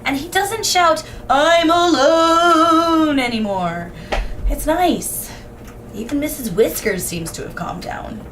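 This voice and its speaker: Woman acting friendly, higher-voiced helpful neighbor. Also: animated, takes it slowly, a bit bright, a little hiss or hum, heavily acted. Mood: pleased. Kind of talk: audio drama acting.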